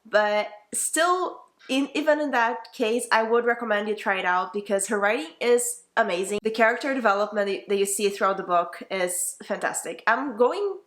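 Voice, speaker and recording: A woman's voice: mid-range voice, lively intonation, moderate pace, few pauses, fairly bright tone. A young woman with a Brazilian Portuguese accent, a native Portuguese speaker, giving a casual, enthusiastic book review that rambles and corrects itself as she goes.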